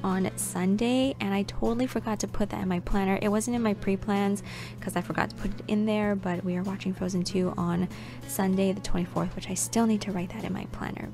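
A young woman narrates a casual voiceover in a chatty, scatterbrained way, often stopping to correct herself. Her voice sits at an ordinary middle pitch with a slight rasp, since she is coming down with a cold. Clear background music plays under her voice. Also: fairly even intonation, a little fast. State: slightly raspy, getting sick. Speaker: planner vlogger.

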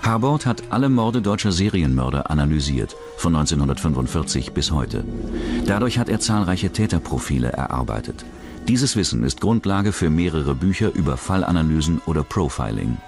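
A man's voice: rumbling low, big pitch swings, fairly quick, music in the background. A man reading scripted true-crime narration as the narrator of a documentary.

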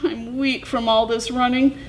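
A woman's voice: fairly high pitch, level tone, measured pace, voice pushed up, close to an everyday voice. A woman voicing a frantic, whiny, stubborn male coyote trickster in a children's story, pleading and yelping.

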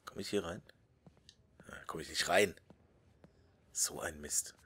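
Low-voiced joking gaming commentator. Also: male voice, slow, fairly bright tone, husky. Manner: joking, muttering asides, whispers while reading. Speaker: let's play host. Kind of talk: casual gameplay commentary.

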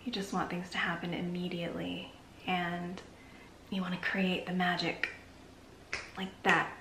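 A woman's voice: on the low side, completely flat delivery, measured pace, creaky. Chatty woman vlogging in an echoey bedroom. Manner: chatty and reflective, drifts into soft near-whispers. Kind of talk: casual confessional vlog. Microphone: camera mic at arm's length. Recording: echoey room with faint hiss.

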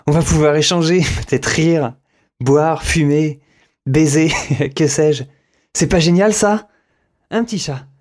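A man's voice: on the high side, slow pace, darkish tone. A man playing a lonely, rambling survivor of a zombie apocalypse in an audio drama, hesitant and muttering to himself. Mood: giddy. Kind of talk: audio fiction acting with inner monologue.

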